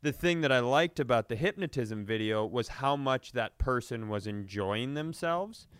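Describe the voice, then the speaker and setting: A man telling comedic stories in casual, animated, profane banter, often acting out quotes as a comedy podcast host. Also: medium pitch, normal speed, smooth, no echo, clean studio sound.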